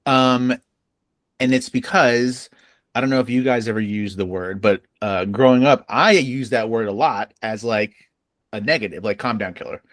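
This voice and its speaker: A man's voice: medium pitch, moderate pace, a bit bright, no room sound. A man chatting casually and informally as a co-host on a book podcast. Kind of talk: casual chat.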